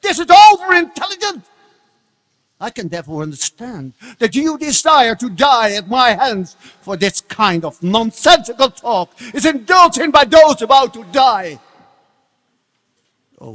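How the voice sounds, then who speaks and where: A man's voice: very high voice, very animated, unhurried, full character voice. A man playing an enraged, arrogant demon king and tyrannical father in a theatrical dramatic reading, imperious and menacing. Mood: scornful, threatening. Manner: imperious, theatrical, swinging from menacing hush to shouts. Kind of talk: dramatic scripture reading on stage. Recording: long hall reverb.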